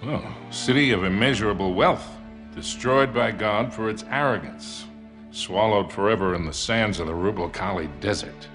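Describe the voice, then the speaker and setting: A man plays a seasoned, skeptical older adventurer in a video game. His lowish voice has a dry, folksy bluntness. Music plays faintly behind him. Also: normal speed, natural voice. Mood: wry, skeptical. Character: wry, skeptical older male adventurer and mentor. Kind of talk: naturalistic video game cutscene acting.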